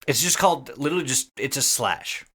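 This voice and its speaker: A man hosting a podcast, telling a story casually to friends in an excited, conversational way with some swearing. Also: mid-range voice, a little fast. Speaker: podcast host.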